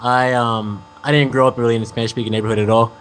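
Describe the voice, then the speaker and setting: A young American man and musician, a guest in an interview, describing his past in a relaxed, rambling way with many ums. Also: mid-range voice, fast talker, slight background noise. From United States. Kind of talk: casual interview talk.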